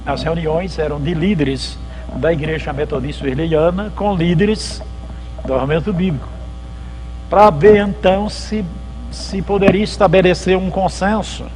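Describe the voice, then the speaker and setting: A man's voice: sits high, a little slow, noisy background. A man, a pastor giving a church history lecture at a symposium, telling it in a chatty way and acting out the dialogues. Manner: conversational storytelling, acts out dialogues. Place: lecture hall at a theological symposium.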